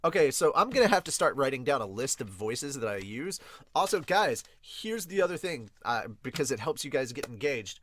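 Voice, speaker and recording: A man hosting a podcast with casual, rambling review commentary, excitable and sweary. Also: mid-range voice, slight background noise. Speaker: podcast host and manga reviewer.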